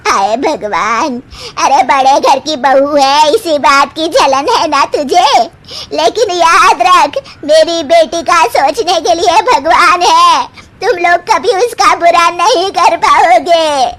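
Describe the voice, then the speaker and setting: A woman's voice: high-pitched, slow pace, fairly bright tone, pitched above the performer's own voice. A woman voicing a demanding, nagging older mother-in-law in a family moral story. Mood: scolding, accusing.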